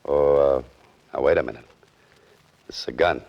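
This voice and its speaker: A man's voice: a bass voice, moderate pace, vocal fry. An American man playing a wry, easygoing writer-adventurer in a 1940s radio detective drama, with laconic, hesitant asides. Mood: cautious, dry. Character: wry, easygoing male writer-adventurer narrating his own case. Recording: dull old radio recording with music.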